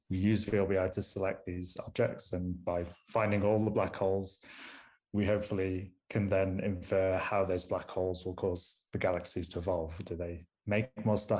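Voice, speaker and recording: A young man from Manchester speaks in a thick northern English accent, his voice sitting very low. He explains his research as a podcast guest in an easy, conversational way, pausing to restart phrases now and then. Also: darkish tone. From Manchester, England. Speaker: astronomer guest. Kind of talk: casual podcast interview.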